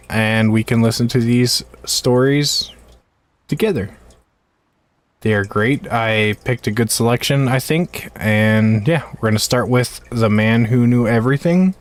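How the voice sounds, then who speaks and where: A man hosting a livestream in casual chat, low-key, speaking in halting short phrases into a close microphone. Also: dry recording. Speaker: streamer, host.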